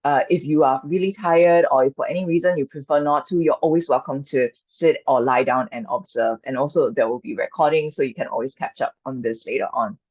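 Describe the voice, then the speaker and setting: A woman leads an online qigong workshop in a gentle, reassuring, inviting way. Her is Chinese. The video call audio sounds dull and dry, with no room around her voice. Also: a bass voice, fairly quick, hardly any pauses, husky, no echo. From Singapore. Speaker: mindfulness and qigong coach.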